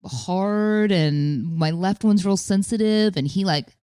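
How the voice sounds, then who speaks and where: A woman in her thirties co-hosting a podcast in casual chat, loud and candid with some bass in her voice and a blunt, swearing manner. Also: slow pace, clean tone, no room sound, clean studio sound. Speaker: podcast co-host.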